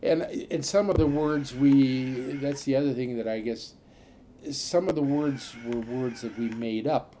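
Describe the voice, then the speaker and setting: An older man from a Norwegian-speaking farm family in the upper Midwest, telling halting, rambling memories with many fillers in an oral history interview. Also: mid-range voice, mellow, slight background noise.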